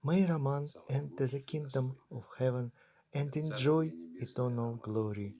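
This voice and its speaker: Slow, mellow man reading a voice-over. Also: mid-range voice. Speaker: voice-over translator of an interviewee. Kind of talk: dubbed documentary voice-over.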